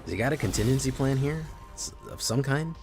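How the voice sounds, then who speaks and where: A man's voice: mid-range voice, fairly quick. A man streaming a card game, chatting casually with his viewers and reacting to plays. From Chile. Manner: relaxed, chatty, reacting to chat. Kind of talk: casual live-stream commentary. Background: faint music.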